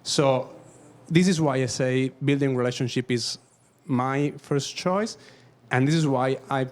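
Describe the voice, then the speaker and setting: A man with an Italian accent, Italian his first language, speaking up from the audience at a talk, halting and searching for words. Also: mid-range voice. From Italy. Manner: halting, searching for words, then quick bursts. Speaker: audience member, documentary photographer. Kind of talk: question-and-answer at a talk.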